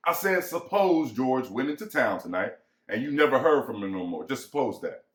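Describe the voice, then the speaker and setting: A man's voice: mid-range voice, very animated, normal speed, a bit bright. A man acting as bitter, lonely American ranch hand, echoey. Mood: musing, pressing. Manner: folksy rural vernacular, musing and pressing. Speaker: actor. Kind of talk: dramatic reading of a novel. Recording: echoey room.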